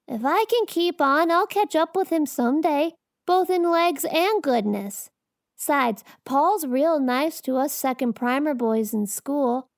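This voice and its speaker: A very high-voiced little boy of eight in a storybook. He is earnest and mischievous, chattering away in slangy childish grammar. The sound is dry and close. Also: dry recording. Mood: eager. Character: talkative eight-year-old boy, earnest, mischievous and curious. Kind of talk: dramatized audiobook character acting.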